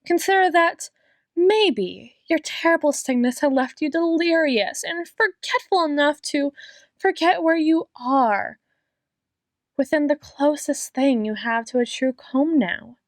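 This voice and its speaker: A woman playing an obsessive, menacingly tender doctor in an ASMR roleplay, speaking in a deliberate, theatrical monologue with drawn-out words. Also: high-pitched, no room sound, studio quality. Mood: wounded. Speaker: audio roleplay performer.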